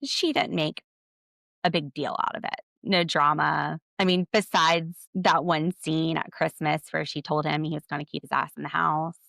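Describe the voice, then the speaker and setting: An American woman hosting a podcast, giving casual, opinionated commentary in an animated, sarcastic, slangy way. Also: sits low, moderate pace, creaky, no room sound, studio quality. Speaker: podcast host.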